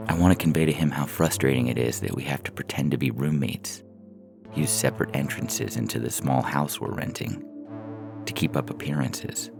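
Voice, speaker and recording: A man reading a first-person short story as a narrator, in a measured, deliberate way, from a script. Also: a bass voice, creaky. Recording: music bed under the voice. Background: synthesizer music.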